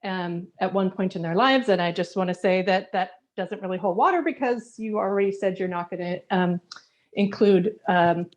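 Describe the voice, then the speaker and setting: A woman resident speaking earnestly and haltingly, with many fillers, in a public comment at a community forum over a video call. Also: mid-range voice. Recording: dull lo-fi call audio.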